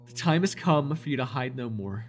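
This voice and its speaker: A man playing a fanatical, desperate cult leader devoted to a dark master, theatrical and intense, in an immersive audio roleplay. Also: medium pitch, lively intonation, moderate pace, soft background music, close to an everyday voice. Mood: grandiose, incantatory. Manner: theatrical, intense, stammering when shaken.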